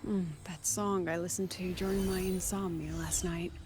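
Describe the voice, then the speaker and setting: A woman playing a cool, eccentric female academy president in a video game, composed and deliberate, speaking in clipped statements. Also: fairly low pitch, level tone, lightly acted. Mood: musing, dismissive. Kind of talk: scripted video game voice acting. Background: game music under the voice.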